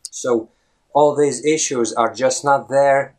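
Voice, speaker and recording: A man in his thirties, a native Latvian speaker, talking to camera as an English fluency coach in a conversational way, often checking in with a quick "right?". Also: mid-range voice, steady tone, darkish tone. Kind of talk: talking-head video lesson. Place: indoors in front of a green screen. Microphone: close camera, head-and-shoulders framing.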